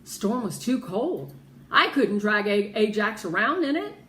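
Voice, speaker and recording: A woman's voice: medium pitch, big pitch swings, a little slow, fairly bright tone. A woman voicing a tough, blunt street woman from a children's novel, speaking emphatically. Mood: matter-of-fact. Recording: roomy echo with background noise.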